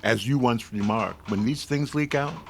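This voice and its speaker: A man's voice: mid-range voice, slight background noise, close to an everyday voice. An actor playing a discreet, formal and guarded businessman in a 1950s-style radio drama. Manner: measured, formal, reserved.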